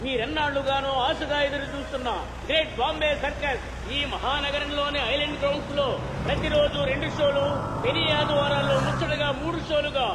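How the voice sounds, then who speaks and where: A declamatory circus announcer in a film comedy, pitched very high. The voice echoes and rings out over loud street noise. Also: male voice, level tone, a little fast. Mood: grand, promotional. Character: loud male circus announcer hawking shows to townspeople.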